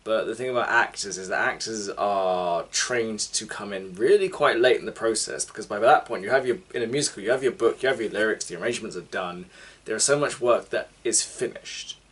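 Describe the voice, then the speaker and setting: A young man co-hosting a casual chat, explaining points in an earnest, measured way. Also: on the low side, a bit bright, breathy edge. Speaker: co-host.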